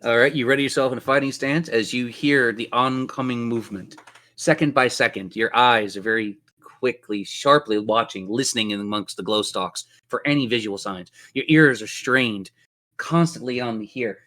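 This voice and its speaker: Man, game master, tabletop role-play narration, theatrical, descriptive, medium-pitched. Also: normal speed, bright, forceful tone.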